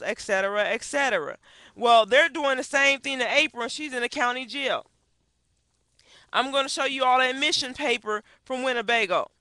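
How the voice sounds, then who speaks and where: A woman's voice: fairly high pitch, pushed and bright, no echo. A middle-aged woman giving impassioned, indignant commentary into a webcam headset in a small room, asking pointed rhetorical questions. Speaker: activist vlogger. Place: small wood-paneled room indoors.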